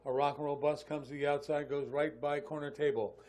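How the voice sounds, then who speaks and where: A man's voice: on the high side, flat delivery, fairly quick, a little mellow. A man giving live harness race commentary, calling positions in clipped running phrases. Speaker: harness racing announcer.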